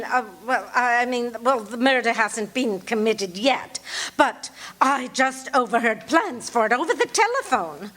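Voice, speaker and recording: A high, swooping voice for a nervous, demanding bedridden wife, as an actress plays her on a stage radio play. She frets and scolds, stammers and restarts, her pitch leaping all over the place. It is live radio-theatre acting in front of an audience. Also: fairly bright tone. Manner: indignant, fussy, stammering. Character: fretful, imperious bedridden wife, older woman. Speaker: lead actor in a radio play.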